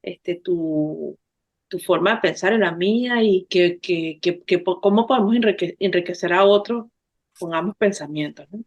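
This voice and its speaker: Low-voiced Venezuelan woman on lo-fi line. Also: normal speed. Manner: hesitant, many filler words. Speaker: podcast co-host. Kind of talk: casual conversational talk. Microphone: remote call.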